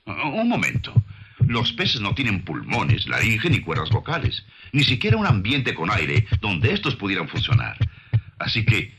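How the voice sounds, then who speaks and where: Low-voiced man narrating with lively intonation. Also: a little slow, slightly rough. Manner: didactic, rhetorical questions to the listener. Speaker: documentary narrator. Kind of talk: scripted nature documentary narration.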